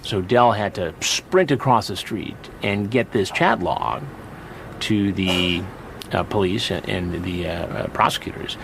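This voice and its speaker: A man explaining a police procedure in a measured way, with some hesitations, in a clip played on stream. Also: low voice, vocal fry, noisy background. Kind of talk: documentary narration interview.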